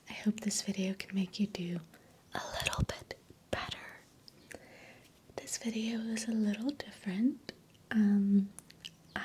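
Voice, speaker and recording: A South African woman whose first language is Afrikaans, speaking an ASMR voiceover in a soft, gentle, unvoiced whisper into a close mic that moves from ear to ear. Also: medium pitch, a little hiss or hum. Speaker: ASMR creator. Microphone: close sensitive mic, sounds moving ear to ear.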